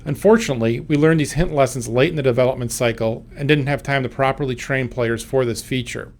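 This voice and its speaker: A man's voice: medium pitch, brisk, a little mellow. A man who works as a game developer, giving scripted commentary on design.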